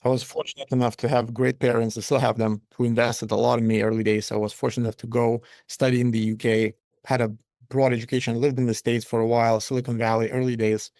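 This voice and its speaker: A man, a company founder giving measured, matter-of-fact answers as an interview guest. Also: medium pitch, level tone, darkish tone, no room sound. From Lithuania.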